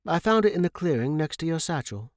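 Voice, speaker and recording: A man voicing a firm, caring woman dragon keeper in a story, instructing and gently scolding. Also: fairly high pitch, sing-song, fast talker, dry recording, clean studio sound, voice pushed up. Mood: casual, remembering.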